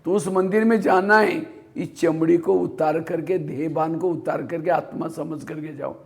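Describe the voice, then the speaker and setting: A man's voice: on the high side, steady tone, a little slow, vocal fry. A man giving a spiritual class, telling anecdotes and asking rhetorical questions that he answers himself. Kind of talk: Hindi spiritual discourse.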